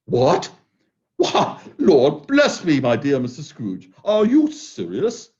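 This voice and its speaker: A man voicing a flustered middle-aged charity gentleman who stammers in astonishment, on a dull lo-fi recording. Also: on the high side, wildly swooping pitch, slow, voice pushed down, heavily acted.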